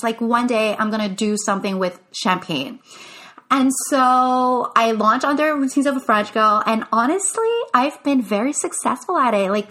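A woman's voice: on the high side, a bit bright. A young woman from Quebec, a business coach, chatting casually on her podcast with many "like" fillers and restarts. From Quebec, Canada. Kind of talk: casual solo podcast talk.

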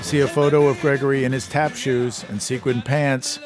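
A man hosting a radio show, reading scripted introductions in a measured, even way. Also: medium pitch. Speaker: radio host. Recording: narration over clear music bed.

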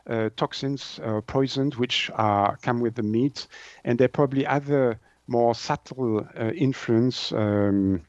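A man's voice: medium pitch, a little slow, darkish tone, dry recording, lo-fi sound. A man from Switzerland, a native French speaker with a Swiss French accent, explaining things as an interview guest and often searching for words.

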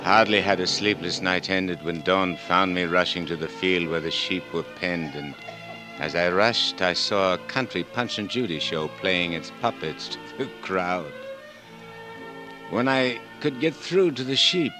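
An actor playing an earnest, anguished scientist with deliberate, halting theatrical delivery in a 1940s radio drama. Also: male voice, deep voice, animated, rough recording. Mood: dramatic recounting. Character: earnest, anguished married male scientist, idealistic and humble. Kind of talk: 1940s radio drama acting, first-person narration and dialogue. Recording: old 1940s radio broadcast with music.

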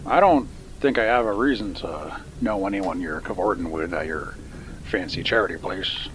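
An actor playing a gruff, wary middle-aged uncle who used to be a thug. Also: male voice, low-pitched, normal speed, noisy background, natural voice. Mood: guarded.

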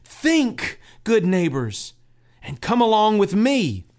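A man playing a fervent, high-pitched pilgrim. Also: sing-song, slow, a little mellow, exaggerated voice. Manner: fervent, preaching. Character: earnest devout male pilgrim, pleading.

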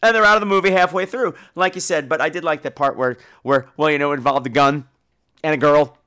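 Animated man with a higher-pitched voice. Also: quick pace, smooth. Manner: animated, opinionated, profane. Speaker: podcast host. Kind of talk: casual film commentary.

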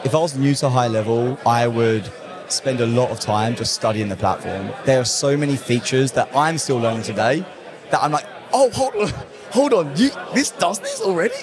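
A young English man talking casually and excitedly as a guest in an interview at a trade event, often laughing. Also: mid-range voice, moderate pace. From England. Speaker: guest, call center company owner. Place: busy conference floor. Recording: noisy crowded event hall. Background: crowd chatter.

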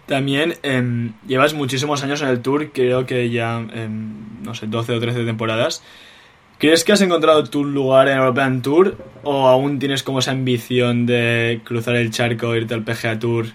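A young man from Spain hosts a casual interview. His voice sits at a middle pitch. He is chatty and strings his questions together at length. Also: fairly even intonation, moderate pace. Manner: chatty, asks long rambling questions. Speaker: podcast host and interviewer.

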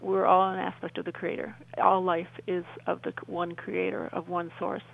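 Female interview guest, gravelly fry, even intonation, earnest and halting explainer. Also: on the low side, low-quality recording.

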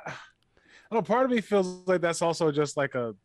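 A man's voice: high-pitched, animated, brisk. A man chatting casually on a podcast, hedging and restarting his sentences often. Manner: rambling, hedging, many restarts. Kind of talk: casual chat.

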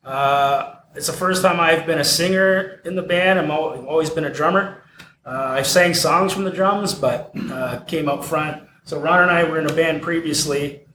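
A man who sings in a band chats in a relaxed way, pausing often as he speaks. His voice is a little high for a man. The room echoes, and a faint noise hums behind him. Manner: relaxed, hesitant with fillers. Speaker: band singer. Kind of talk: casual chat.

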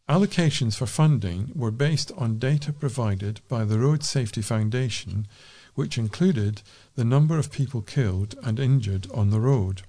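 A man's voice: mid-range voice, warm tone. A British man reading local news aloud as a volunteer newsreader.

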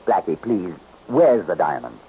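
A man's voice: low voice, very animated, measured pace, slightly rough, heavily acted. An actor playing an anxious, earnest man in trouble, speaking with pleading urgency in 1940s radio drama style. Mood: desperate. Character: anxious, pleading man who gambled away valuables. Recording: muffled, noisy old radio recording.

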